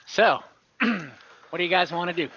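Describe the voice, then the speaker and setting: A man acting as game master, improvising narration in a halting, chuckly way over an online call. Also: high voice, animated, pushed and bright, breathy edge, muffled recording.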